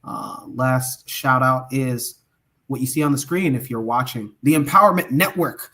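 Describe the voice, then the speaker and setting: A man with a medium-pitched voice wraps up a livestream podcast. He sounds upbeat and proud, and often stalls on fillers and restarts as he gives shout-outs. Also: unhurried. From Omaha, Nebraska. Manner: enthusiastic, hesitant with many fillers. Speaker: podcast co-host. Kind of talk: closing remarks and shout-outs.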